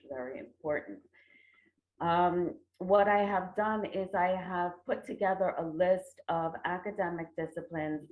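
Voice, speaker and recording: A middle-aged American woman giving a formal academic conference presentation, phrasing carefully with pauses. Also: deep voice, a little mellow. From United States. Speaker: conference presenter, traditional medicine researcher. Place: indoor room, remote online presentation. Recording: roomy, tinny phone-like online call audio.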